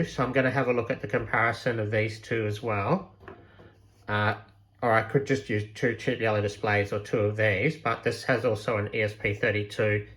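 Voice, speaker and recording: Guy doing a casual maker walkthrough, mid pitched voice, kinda hesitant, thinking out loud. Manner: informal, hesitant, thinking aloud. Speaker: maker presenting a DIY electronics project. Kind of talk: casual unscripted project walkthrough.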